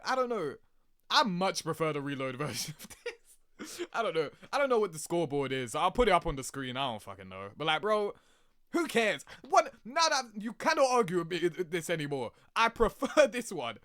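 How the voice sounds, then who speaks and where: A man giving casual, rambling reaction commentary in an animated, slangy, sweary way, laughing easily. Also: on the high side, no room sound, clean studio sound. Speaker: video creator reacting to game cutscenes.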